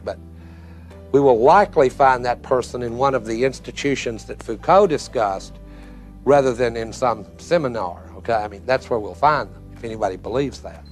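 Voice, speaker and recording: A man lectures informally in a medium-pitched voice. He is emphatic and wry, driving each point home like a philosophy teacher. There is faint music behind him.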